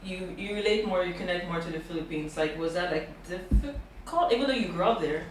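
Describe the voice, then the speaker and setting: High-pitched male host, rambling questions, echoey room, faint hiss. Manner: rambling questions, quick agreeing backchannels. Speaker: podcast host. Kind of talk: casual interview questions.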